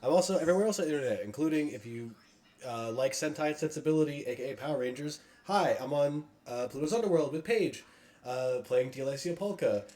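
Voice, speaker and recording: A man hosting and narrating a tabletop game as game master, playful and excitable, in a casual style. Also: a little high, a little fast. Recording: echoey room.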